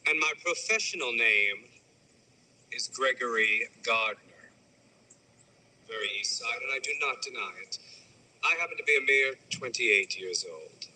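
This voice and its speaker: An actor playing a wry male dancer in his late twenties, dry and deadpan. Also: medium pitch, measured pace, long pauses, exaggerated voice, low-quality recording. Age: late 20s.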